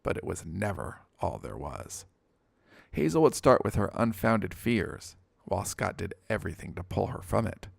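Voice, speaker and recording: A man's voice: deep voice, big pitch swings, normal speed, vocal fry, husky, no room sound, studio quality. A man narrating a scripted fiction story as an audiobook reader.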